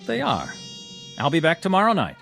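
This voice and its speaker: A man's voice: fairly high pitch, wildly swooping pitch, normal speed, gravelly, over music, heavily acted. An actor playing a proud, wryly bitter clubman in an audio drama, speaking formally and theatrically. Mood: cool, defiant. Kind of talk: modern audio drama acting.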